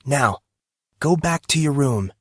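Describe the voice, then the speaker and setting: Man as slow, insistent inner voice of conscience. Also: medium pitch, lively intonation, a bit bright, no room sound, clean studio sound, voice pushed up. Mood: commanding. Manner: commanding, deliberate. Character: insistent, accusing inner voice of a teenage boy.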